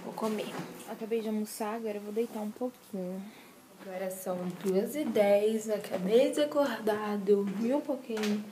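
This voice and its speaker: A teenage girl from Brazil talking casually to a phone camera held at arm's length, animated and slangy. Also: mid-range voice, moderate pace, heavy background noise. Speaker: vlogger. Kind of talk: casual day-in-the-life vlog. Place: bedroom and shopping mall, indoors. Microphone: handheld phone camera at arm's length.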